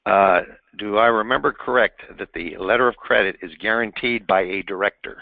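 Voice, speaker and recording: A man moderating an investor call over the telephone, reading out questions in a halting, fumbling way. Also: on the low side, creaky, no room sound. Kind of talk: unscripted question-and-answer on an earnings call. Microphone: telephone on a conference call. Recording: narrow tinny phone line.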